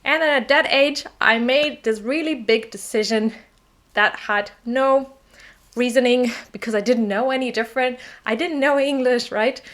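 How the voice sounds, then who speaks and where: A woman's voice: a little high, fairly bright tone. A woman from southern Germany with a German accent, a native German speaker and English teacher, telling her language-learning story in a chatty vlog, often laughing and checking in with "okay?".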